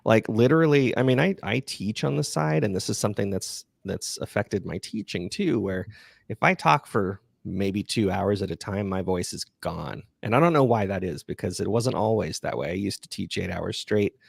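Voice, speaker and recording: Male podcast host, low gravelly voice, close dry sound, halting and unassuming in casual Q&A. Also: lively intonation, no room sound. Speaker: podcast creator and livestream host. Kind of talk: casual chat, answering listener questions.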